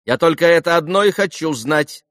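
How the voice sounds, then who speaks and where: A man voicing an imperious, excitable teenage girl with a thin little voice and demanding exclamations, in an audiobook novel. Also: fairly high pitch, normal speed, no room sound, clean studio sound, voice pushed up. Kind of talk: audiobook classic novel dialogue.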